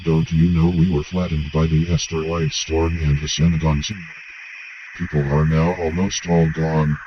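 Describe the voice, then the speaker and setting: Guy putting on a booming gruff old chieftain, super deep, bit of hiss. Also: little pitch movement, normal speed. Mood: proud. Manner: bellowing, gruff. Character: tall old male chieftain, bellowing, rustic. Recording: dull lo-fi with hiss.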